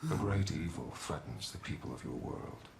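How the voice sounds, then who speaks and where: An actor playing a stern ancient swordmaster who speaks gravely and formally. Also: male voice, rumbling low, lively intonation, moderate pace, heavy background noise, full character voice. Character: stern ancient male swordmaster judging warriors. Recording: echoey game audio with music.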